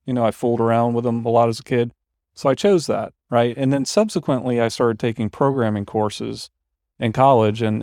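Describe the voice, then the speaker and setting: A man's voice: mid-range voice, darkish tone, no echo, clean studio sound. A man in his forties, co-hosting a podcast, talking casually in a reflective, rambling way. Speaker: podcast co-host. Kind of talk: casual chat.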